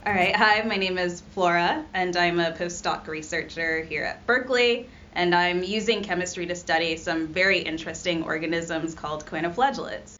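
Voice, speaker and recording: A woman's voice: on the low side, fairly even intonation, normal speed, few pauses, a bit bright, soft background music. A young woman from Rwanda, a postdoc researcher, explaining her work in an interview with frequent ums and uhs. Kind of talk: conversational science interview. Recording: slightly dull audio with faint noise.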